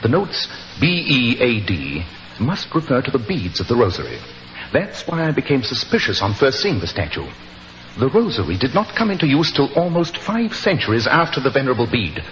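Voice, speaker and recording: A confident British detective in an old radio mystery. He speaks precisely and with command, his pitch sweeping widely in a theatrical way. The vintage recording is muffled, with some hiss. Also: sing-song, normal speed, a bit bright. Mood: explaining. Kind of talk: 1940s radio acting. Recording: muffled 1940s radio recording, faint noise.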